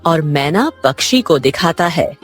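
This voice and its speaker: A woman narrates a scripted children's story in a fairly low voice. Her intonation is lively and varied, with gentle music behind her. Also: measured pace.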